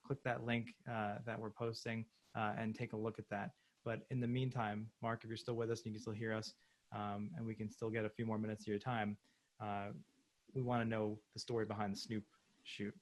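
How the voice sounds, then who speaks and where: Low, flat-voiced male moderator. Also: a little fast. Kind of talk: hosting an online talk.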